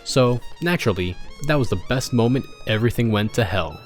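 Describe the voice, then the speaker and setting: A man's voice: mid-range voice, expressive, fairly quick. A man reading a story aloud in a dramatic narrating style, with the odd casual aside. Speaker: fan fiction reader. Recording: music behind the voice.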